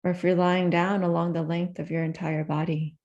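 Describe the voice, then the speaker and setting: A woman leading a guided meditation in a gentle, unhurried manner with soft pauses between phrases. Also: lowish voice, flat delivery, highs cut off.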